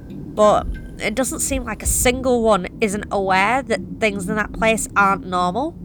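A woman's voice: medium pitch, noisy background. A young woman reading a first-person horror story in a wry, confessional, conversational way. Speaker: narrator.

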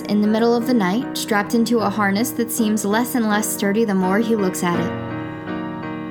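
An actress playing a wry, dramatic broadcaster on a remote watchtower in an audio drama, with a wordy, theatrical delivery. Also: female voice, medium pitch, close to an everyday voice. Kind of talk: audio drama acting with storyteller monologues.

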